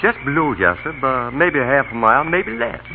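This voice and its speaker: An actor with a Southern American accent playing a loyal, anxious, deferential servant in a radio drama. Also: male voice, medium pitch, very animated, a little fast, gravelly, background noise. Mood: wary, deferential. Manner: folksy, deferential. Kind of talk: 1930s radio drama acting. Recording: tinny old radio broadcast with loud music.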